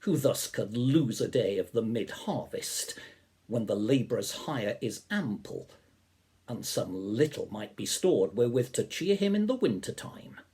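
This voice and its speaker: An elderly man reads verse aloud with careful, expressive phrasing. His voice is mellow and a little husky, and he takes his time, letting the pitch rise and fall freely. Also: measured pace. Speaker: poetry reader, reverend. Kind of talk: measured poetry reading with introduction. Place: small living room or study, indoors.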